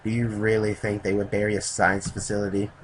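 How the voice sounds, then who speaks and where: Man reading as a low-voiced, sardonic guy. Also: completely flat delivery, brisk, natural voice. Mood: wry. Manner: casual, wry. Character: laid-back, sardonic man in a survival story. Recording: clearly noisy home recording.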